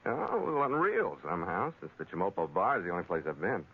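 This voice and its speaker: An actor playing a tough, laconic sea captain with a clipped, dry delivery in 1940s radio drama style. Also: male voice, low voice, huge pitch swings, quick pace, a little hiss or hum, full character voice. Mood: wary, laconic. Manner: clipped, dry, hard-boiled. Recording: muffled 1940s radio recording with music.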